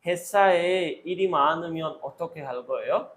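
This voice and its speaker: Slow-paced man giving interview drills. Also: on the high side, a bit bright. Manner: instructional, short commands and set questions. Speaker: Korean exam interviewer and teacher. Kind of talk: question-and-command interview drill.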